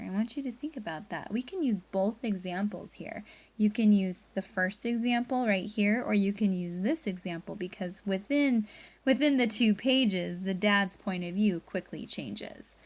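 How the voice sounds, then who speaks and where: A woman's voice: medium pitch, animated, moderate pace. A woman teacher leading a read-aloud lesson for pupils, reading expressively and guiding them through a story. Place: indoors at a laptop.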